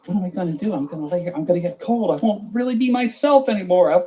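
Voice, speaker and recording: A man voicing a fretful talking lump of coal in a folk tale, whining higher and higher. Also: fast, a little mellow, slight background noise, lightly acted. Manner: whiny, rising and anxious.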